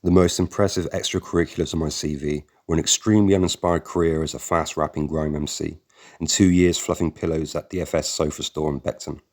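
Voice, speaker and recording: A man narrating an audiobook in an extremely deep, low voice. He reads a first-person memoir at a steady, measured pace, as if telling his own story.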